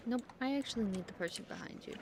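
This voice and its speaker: A woman's voice: a little high, quick pace, very noisy. Woman streamer chatting casually over gameplay. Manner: blunt, slangy muttering to herself. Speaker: game streamer. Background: faint game music, keyboard clicks.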